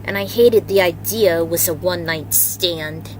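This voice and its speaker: A woman's voice: on the low side, a little slow, slight background noise, natural voice, rough recording. A woman voicing a tough, tenacious female military general who talks frankly and with command.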